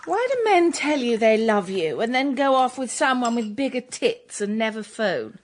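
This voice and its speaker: Comic radio play: a blunt young date, high and lively. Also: female voice, normal speed, a little hiss or hum. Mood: exasperated. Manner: blunt, exasperated. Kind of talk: comic radio drama acting.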